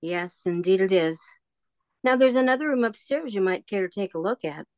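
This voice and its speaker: A woman's voice: mid-range voice, lively intonation, moderate pace, a little mellow, no room sound, natural voice. Woman as a genial older shopkeeper, dry sound. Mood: genial, wistful. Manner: chatty, nostalgic. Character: genial, wistful older woman keeping a junk shop. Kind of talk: audio drama acting.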